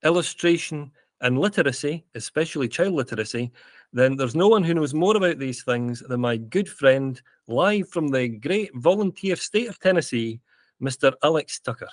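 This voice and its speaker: A man hosting a podcast, speaking in a measured, formal way. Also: a little high, lively intonation, no room sound, clean studio sound. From Scotland. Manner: measured and formal, with a gentle introduction. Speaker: podcast host. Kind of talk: podcast interview.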